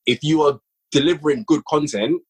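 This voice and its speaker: Husky man chatting casually as a guest. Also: on the high side, normal speed. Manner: relaxed, rambling with many fillers. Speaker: guest, audio drama writer and producer. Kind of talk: casual podcast chat.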